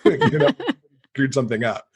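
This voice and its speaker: Husky-voiced man chatting in an interview. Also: medium pitch, expressive, normal speed, darkish tone. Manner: conversational, explains at length with asides. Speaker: podcast guest. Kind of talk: casual interview conversation.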